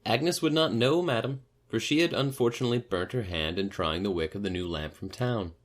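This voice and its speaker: Man voicing a prim, higher-pitched parlour maid. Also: fairly quick, lightly acted. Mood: patient, superior. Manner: deferential, prim, grudging in answers. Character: stiff, respectful parlour maid, a woman, doggedly self-righteous. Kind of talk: audiobook character voice.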